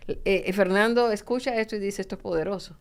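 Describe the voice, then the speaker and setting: Woman, 60s, podcast host; smooth, resonant voice, hesitant delivery. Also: medium pitch, quick pace. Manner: hesitant, many filler sounds. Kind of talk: interviewing guests.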